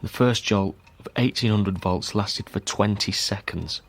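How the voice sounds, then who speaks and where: An actor playing a sober man reading out a grim account in a measured, matter-of-fact way in a radio drama. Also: fairly low pitch, creaky. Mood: solemn.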